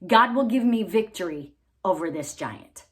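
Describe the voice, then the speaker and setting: A woman's voice: medium pitch, expressive, normal speed, a bit bright, lightly acted. A woman voicing a brave shepherd boy. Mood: determined. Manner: earnest, confident.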